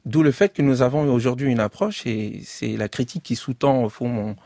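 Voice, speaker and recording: A man's voice: mid-range voice, brisk, dark tone, dry recording. Hesitant French-speaking man, dry studio sound. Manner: hesitant, many fillers and restarts. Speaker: author and guest. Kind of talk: measured interview talk.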